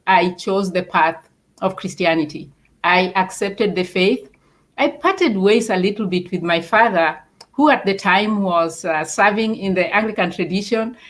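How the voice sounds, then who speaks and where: A woman from Kenya with a Kenyan accent, a guest author calmly telling her life story in an interview. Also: medium pitch.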